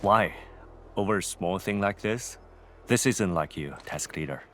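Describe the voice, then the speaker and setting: Deep-voiced, steady male soldier. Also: unhurried, a little hiss or hum. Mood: calm, concerned. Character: steady, loyal soldier man advising his task leader. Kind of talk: video game voice acting.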